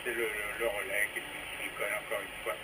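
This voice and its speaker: Male radio amateur, halting, repetitive everyday talk through a noisy two-way radio transmission. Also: lowish voice, level tone. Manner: halting, stammers over repeated words. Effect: two-way VHF radio transmission. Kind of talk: casual radio chat. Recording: noisy VHF ham radio reception.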